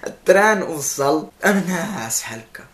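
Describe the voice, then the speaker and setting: A young man in his twenties with a Moroccan Arabic accent, telling a story in a casual vlog and gesturing the whole time. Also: high voice. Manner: animated, gestures constantly, laughs while telling. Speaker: vlogger. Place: indoor room, seated on a couch. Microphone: camera mic, close framing.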